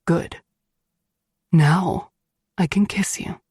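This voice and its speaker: A woman's voice: on the low side, drawn-out words, darkish tone, slightly rough, no echo, studio quality, full character voice. A woman voicing a brooding, protective, dangerous husband who speaks in a blunt, commanding way in an audiobook. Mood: demanding, tender.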